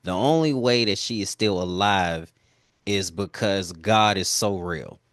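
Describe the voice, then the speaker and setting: Low-voiced man interviewer, dry sound. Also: unhurried, fairly bright tone, dry recording. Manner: affirming, brief agreeing interjections. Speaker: interviewer and show host. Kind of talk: casual interview talk.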